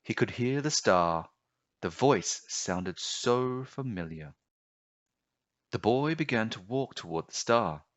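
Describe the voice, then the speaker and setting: Deep-voiced man reading a storybook aloud. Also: no room sound, muffled recording. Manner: measured, expressive storytelling. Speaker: storybook narrator. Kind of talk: children's read-aloud narration.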